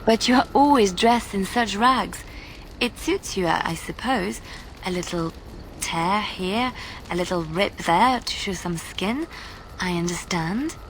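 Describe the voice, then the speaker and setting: A woman's voice: mid-range voice, lively intonation, measured pace, a little hiss or hum, low-quality recording. An actress playing a sweet, girlish young adventurer in a fantasy game, gushing and coaxing. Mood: dreamy admiration. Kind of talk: fantasy video game voice acting.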